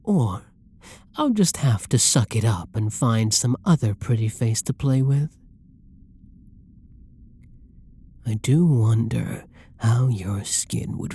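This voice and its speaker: A flirty, domineering villain played by an actor in an ASMR audio drama. The voice is mellow and husky with a heavy creak, teasing and possessive toward a hostage. It often sinks into a menacing whisper. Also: medium pitch, heavily acted. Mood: breathy, threatening.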